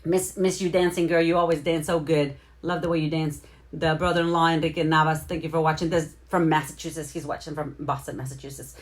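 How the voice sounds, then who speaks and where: Low-voiced middle-aged woman, chatty livestream host. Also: little pitch movement, fairly quick. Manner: chatty, informal, slangy, addresses viewers directly. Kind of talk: casual livestream chat with shout-outs to viewers.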